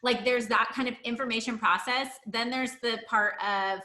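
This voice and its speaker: Bright-voiced woman host, echoey sound. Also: on the high side, steady tone, normal speed. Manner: informal, thinks aloud, checks in with tag questions. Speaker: host, practice consultant. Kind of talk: conversational business interview. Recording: roomy, echoing voice pickup.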